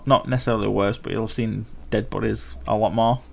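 A man's voice: lowish voice, steady tone, a little fast, creaky, darkish tone. A young man co-hosting a casual film podcast, laid-back with dry remarks. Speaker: podcast co-host.

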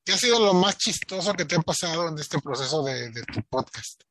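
Male podcast host, a bit high-pitched, bright tone, rambling, faint noise behind. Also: expressive, fairly quick. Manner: rambling, chatty, many filler words. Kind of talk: casual interview chat.

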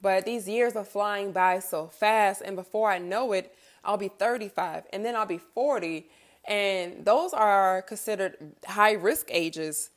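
A woman's voice: medium pitch, a little slow. Candid thirty-year-old woman chatting casually. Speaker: podcast host. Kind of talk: candid personal monologue, casual chat.

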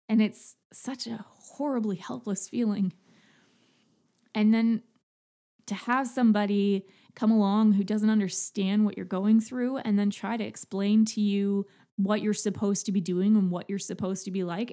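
Soft-spoken earnest woman, mellow voice. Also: mid-range voice, fairly even intonation, unhurried. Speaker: podcast host. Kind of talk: personal monologue to listeners.

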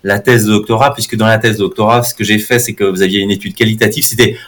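Middle-aged guy from france, lowish voice, lots of uh's, chatty interview guest. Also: steady tone, fast talker, a bit bright. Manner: hesitant, many fillers and restarts. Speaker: guest, marketing institute dean. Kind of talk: conversational interview answers.

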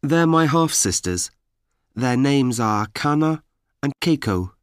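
A man reading example sentences for language learners, deliberate and evenly paced. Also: medium pitch, animated, clean tone, no echo, studio quality. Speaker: course audio speaker. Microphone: dry studio mic.

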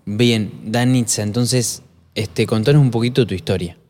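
A man's voice: on the low side, moderate pace, a little mellow. A man in his twenties with an Argentine accent, chatting casually and asking questions as a podcast co-host. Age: 20s. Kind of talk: casual chat, interviewing.

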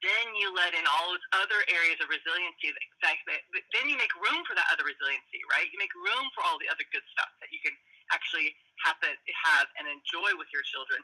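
A woman, likely in her forties, talking casually in an interview about parenting work; she is naturally loud and animated. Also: lowish voice, low-quality recording. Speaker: parenting program guest.

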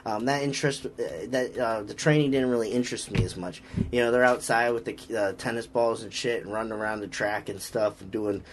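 A man's voice: lowish voice, fairly even intonation, brisk, few pauses, background noise. A man with a Boston accent, a strength coach chatting casually on a podcast, rambling with lots of fillers and restarts. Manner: rambling, frequent fillers and restarts, upbeat about lifting.

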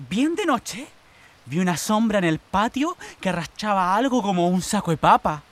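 An actress playing a timid, deferential housemaid from the rural south in a radio drama, with a Chilean accent and a hesitant, stammering delivery. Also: female voice, fairly high pitch, close to an everyday voice. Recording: radio drama with music underneath.

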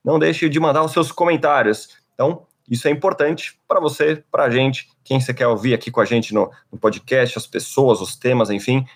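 A man's voice: medium pitch, normal speed, hardly any pauses. Brazilian male podcast host, playful and upbeat, medium voice. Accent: Brazilian Portuguese. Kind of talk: casual podcast chat.

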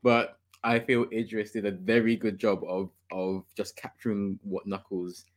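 A man's voice: fairly low pitch, flat delivery, measured pace. Enthusiastic man hosting a podcast. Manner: enthusiastic, opinionated, laughs often. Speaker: podcast host. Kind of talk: casual gaming podcast chat.

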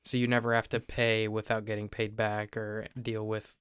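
A young man from Ohio talks through a topic in a relaxed, explanatory way, backing up to restart a phrase now and then. His voice is smooth and resonant but stays flat in pitch. The sound is dry and close. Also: moderate pace, no echo. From Ohio, USA. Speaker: podcast host. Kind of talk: casual explanatory chat.

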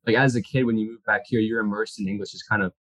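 A man's voice: fairly low pitch, steady tone, fast, a bit bright, no room sound, highs cut off. A Canadian man speaking as a guest in a casual interview, easygoing and descriptive.

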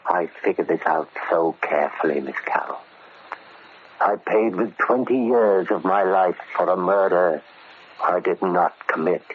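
An actor playing a vengeful, cunning ex-convict barber in 1940s radio drama, speaking with deliberate, menacing politeness. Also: male voice, low voice. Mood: smug, cold. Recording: scratchy, narrow-band old radio recording.

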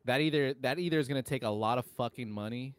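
A man's voice: medium pitch, fast talker, clear, resonant tone, dry recording. A man co-hosting a podcast, talking casually and enthusiastically in emphatic, slangy phrases with some swearing. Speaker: podcast co-host. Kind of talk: casual conversational film and game chat.

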